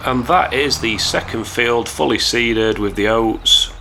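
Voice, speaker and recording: A young man from England with a hoarse voice from a cold, chatting casually to viewers while commentating a game. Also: mid-range voice, measured pace, poor audio. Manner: chatty, relaxed, talks straight to viewers. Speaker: gaming YouTuber.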